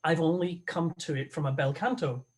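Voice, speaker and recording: Man on a video call, slightly high voice, thoughtful, halting with restarts. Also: fairly even intonation. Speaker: guest opera tenor. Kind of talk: conversational interview over a video call.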